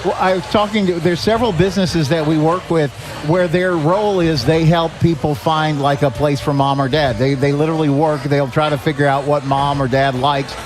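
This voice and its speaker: A man's voice: fairly high pitch, steady tone, moderate pace, hardly any pauses. An older American man talking earnestly and conversationally as a podcast guest, telling anecdotes and acting out bits of dialogue. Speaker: guest, executive director of a senior help organization. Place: live podcast at a restaurant. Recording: music behind the talk. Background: music.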